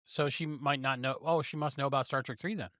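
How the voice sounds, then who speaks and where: A man's voice: mid-range voice, fairly even intonation, brisk, a bit bright, dry recording, clean studio sound. A man co-hosting a podcast, talking casually as he discusses and reviews a show. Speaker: podcast co-host.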